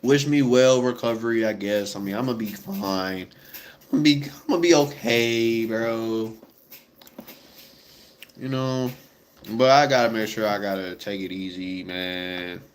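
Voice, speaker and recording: A man's voice: on the low side, unhurried, a little hiss or hum. A congested young man chatting casually and rambling to his viewers, full of slang and fillers. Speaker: vlogger.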